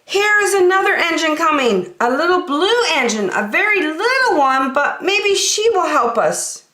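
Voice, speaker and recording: Storybook toy clown, played by a woman: little, high-pitched, hopeful, eager, in an echoey room. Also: very animated, normal speed, heavily acted. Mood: hopeful, cheering. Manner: bright-spirited, eager, calling out.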